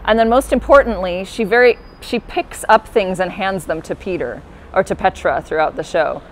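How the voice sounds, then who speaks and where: A woman with a fairly low voice, a puppeteer guest giving casual, friendly explanations with many fillers. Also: moderate pace, slight background noise, poor audio.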